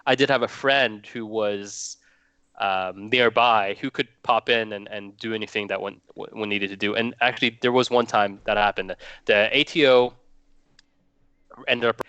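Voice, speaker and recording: A man's voice: lowish voice, steady tone, normal speed, bright, forceful tone, smooth, no echo, muffled recording. A young man from Norway chatting casually as a guest, rambling and restarting his phrases. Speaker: guest hobbyist. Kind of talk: casual chat.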